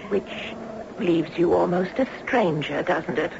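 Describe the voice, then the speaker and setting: A woman's voice: on the low side, unhurried, creaky, background noise. An actress playing a polite elderly lady in a 1970s radio drama, courteous and tentative but full of questions. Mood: grateful, tentative. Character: genteel, polite elderly lady seeking a home. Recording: dull vintage radio broadcast.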